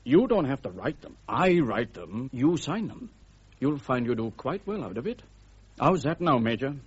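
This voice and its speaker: Pushy newspaperman, swooping husky voice. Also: medium pitch, sing-song, normal speed. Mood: wheedling. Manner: slick, insinuating, needling. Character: pushy, cynical tabloid newspaperman. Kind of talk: radio drama acting. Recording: dull, muffled radio drama recording.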